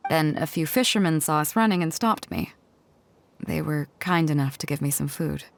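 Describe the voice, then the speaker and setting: A woman's voice: low-pitched, normal speed, vocal fry. An actress voicing a bold, seasoned seafaring woman in a video game, frank and self-assured as she tells her story. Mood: somber, reflective. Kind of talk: video game character dialogue. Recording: music behind the voice.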